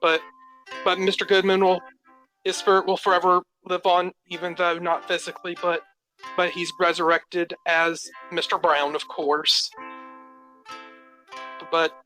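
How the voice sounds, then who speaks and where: Bright, even-toned man calling in. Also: high-pitched, a little slow, pauses often, faint music behind, poor audio. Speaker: caller. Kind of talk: casual call-in remarks.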